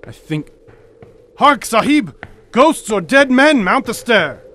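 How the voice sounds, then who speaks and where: A man's voice: very high-pitched, very animated, slow, bright, forceful tone, slight background noise, heavily acted. A man playing a fierce, superstitious warrior and loyal companion in a pulp audio drama, with ornate, intense speech. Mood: alarm.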